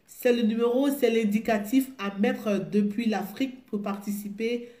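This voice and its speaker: A woman's voice: a little high, fairly even intonation, moderate pace, crowd noise. A woman with a cold hosting a live call-in show, chatting casually, hesitating with many fillers and repeating herself. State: has a cold. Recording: echoey room with music playing.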